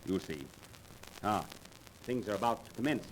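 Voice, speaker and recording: A courteous businessman played by a man with a fairly low voice in a 1930s radio play. He speaks politely and a little hesitantly through clear vintage recording noise. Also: lively intonation, moderate pace, natural voice. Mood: wry, polite. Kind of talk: 1930s radio drama acting. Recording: noisy 1930s radio transcription.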